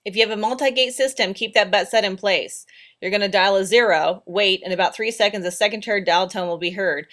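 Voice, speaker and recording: Fast-talking woman presenting technical instructions. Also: mid-range voice, a bit bright. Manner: plain, practical, step-by-step. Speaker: training presenter. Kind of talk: instructional slide narration.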